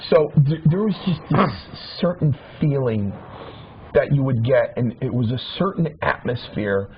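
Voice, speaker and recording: A man's voice: mid-range voice, animated, a little slow. A man in his forties reminiscing in a casual talk show chat, rambling with many restarts and repeated words. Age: 40s. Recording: dull lo-fi sound with faint hiss.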